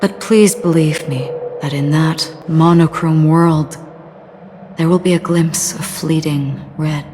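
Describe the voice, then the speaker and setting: A woman playing a solemn, enigmatic wanderer in a video game, measured and melancholy in manner. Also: deep voice, steady tone, dark tone, slight background noise. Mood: grave, foreboding.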